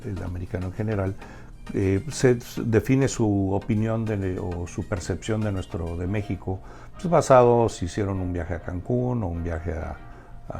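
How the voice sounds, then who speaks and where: A Mexican man speaks in a low, dark voice with a creaky edge. He answers conversationally, often circling back over a word before finishing the thought. Faint music accompanies him. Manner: halting, repeats words as he searches for phrasing. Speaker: interview guest, restaurateur and community organization member.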